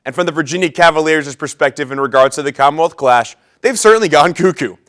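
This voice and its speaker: A young man anchoring a sports news segment and reading scripted stories with lively delivery. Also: a little high, quick pace. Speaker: studio anchor.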